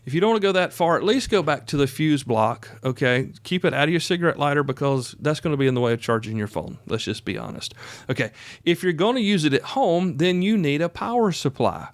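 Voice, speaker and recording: A man's voice: fairly high pitch, expressive, fairly quick, no room sound. A man hosting a podcast, giving casual instructional talk in a folksy, plainspoken, conversational way. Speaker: podcast host.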